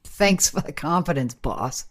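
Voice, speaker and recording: An actress playing a touchy, sarcastic time-travelling camera operator in conversational radio play dialogue. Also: female voice, deep voice, expressive, a little slow. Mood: sardonic, irritated.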